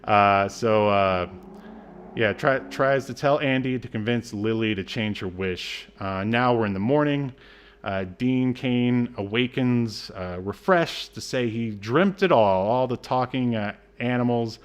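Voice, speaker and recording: A man with a mid-pitched voice co-hosts a casual comedic podcast. He talks through a film recap in a sardonic, exasperated manner, swearing here and there. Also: measured pace, clear, resonant tone. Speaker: podcast co-host.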